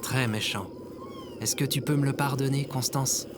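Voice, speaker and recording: A man's voice: medium pitch, fairly even intonation, normal speed, very noisy, lightly acted. A man playing an impulsive, remorseful ordinary guy in an audio drama, speaking casually and colloquially. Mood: apologetic. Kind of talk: scripted audio fiction acting.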